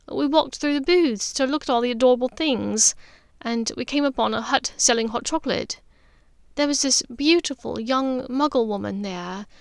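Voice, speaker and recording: A woman voicing a warm, pleading older sister witch in a story, expressive and a little halting. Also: fairly high pitch, gravelly, dry recording, studio quality.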